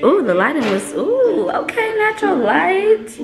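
Young lady vlogging casual w her friend, goofy, echoey room, music up loud. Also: very high voice, big pitch swings, a little slow, creaky. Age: young adult. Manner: goofy, informal. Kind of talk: casual chat to camera with a friend.

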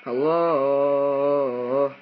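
A man's voice: mid-range voice, steady tone, drawn-out words, lo-fi sound, soft background music. A young man making a casual prank call, talking in a taunting, repetitive, slangy way on a device microphone. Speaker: prank caller.

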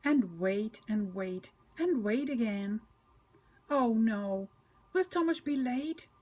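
An older woman narrating a picture book for small children, expressive and emphatic with sing-song repetition. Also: on the high side, slow. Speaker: storybook reader for children.

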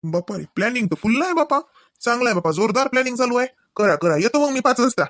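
A man's voice: very high voice, big pitch swings, fast, fairly bright tone, no room sound, clean studio sound, heavily acted. A man voicing a grumbling, teasing husband in a comedy cartoon, sarcastic and colloquial. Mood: teasing, agreeable.

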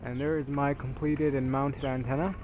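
A man's voice: mid-range voice, flat delivery, moderate pace, over loud music. A man narrating an informal hands-on tutorial in a plain-spoken, slightly hesitant, self-deprecating way. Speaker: DIY tutorial presenter. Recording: noisy amateur camera audio.

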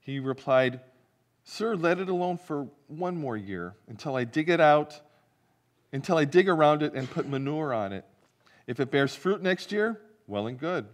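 A man's voice: a little high, lively intonation, normal speed. A middle-aged man, a pastor, reading the gospel and preaching a sermon in a church sanctuary, telling the story vividly and asking the congregation questions.

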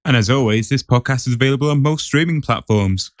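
A man's voice: mid-range voice, quick pace, no echo, studio quality. A man co-hosting a podcast and running its quiz in a casual way. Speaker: podcast co-host and quizmaster.

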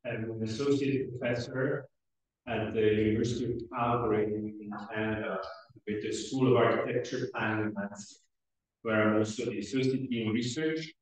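A middle-aged man from Switzerland giving a conference welcome speech as chair, speaking haltingly with frequent hesitant pauses. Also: fairly low pitch, fairly even intonation. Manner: halting, hesitant, pausing often. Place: indoor lecture room at a lectern. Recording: very echoey room, far from the microphone.

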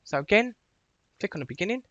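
A man presenting an informal software tutorial, explaining things step by step in a voice-over. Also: high-pitched, animated, quick pace, lo-fi sound.

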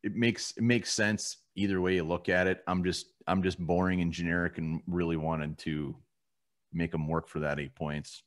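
A man's voice: low-pitched, level tone, normal speed. A man co-hosting a casual postgame sports show from a home room over a video call, blunt and casually swearing as he runs through stats. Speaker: co-host of a sports fan show.

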